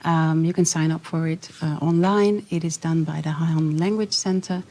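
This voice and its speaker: A woman's voice: deep voice, fairly even intonation, normal speed, dark tone. A woman from student support explaining things in a friendly, informative panel chat. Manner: explanatory, friendly, corrects herself.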